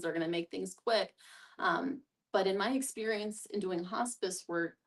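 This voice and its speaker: Woman, slightly low voice, vocal fry, explanatory discussion answers, recorded away from the mic. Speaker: guest facilitator, hospice social worker. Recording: distant mic.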